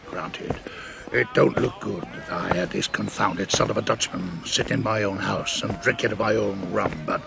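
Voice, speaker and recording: An actor playing a jovial, crafty old one-legged sailor and innkeeper in an audio adventure, with a gravelly voice and hearty seafaring dialect. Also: male voice, fairly low pitch, steady tone, normal speed. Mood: laughing, hearty. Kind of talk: dramatised audio adventure acting.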